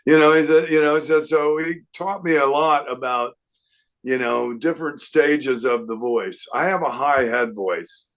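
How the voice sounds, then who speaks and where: An older man, a guest singer, chatting casually in an unhurried, rambling way with lots of fillers. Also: mid-range voice, steady tone, a bit bright. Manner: breaks into sung snippets. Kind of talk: casual interview chat.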